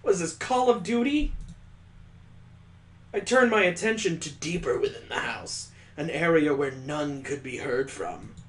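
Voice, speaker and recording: A man's voice: fairly high pitch, animated, measured pace. A man on a stream reading a visual novel aloud, breaking off for crude, joking asides and mock sound effects.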